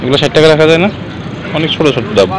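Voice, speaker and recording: A man's voice: fairly high pitch, expressive, very fast, a crowd in the background. A man with a Bangladeshi accent chatting casually as a vlogger, recorded outdoors on a handheld camera. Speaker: travel vlogger, customer. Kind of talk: casual chat and haggling. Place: outdoors at roadside stalls by a beach. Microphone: handheld camera, speaker behind it. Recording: loud outdoor street and traffic noise.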